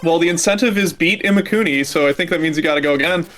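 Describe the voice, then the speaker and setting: A man's voice: fairly high pitch, quick pace, a bit bright, faint music behind. A man bantering casually while playing in a race, wry and teasing. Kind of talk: casual banter during gameplay.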